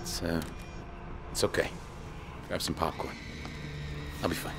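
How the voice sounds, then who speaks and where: An actor playing a weary, decent zombie apocalypse survivor in a video game, terse and understated. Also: male voice, deep voice, fairly even intonation, a little slow, very noisy. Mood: subdued, earnest. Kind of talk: naturalistic video game acting.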